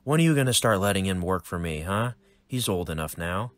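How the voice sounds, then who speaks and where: A man voicing a cold, menacing older crime boss who speaks slowly and quietly. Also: deep voice, lively intonation, no echo.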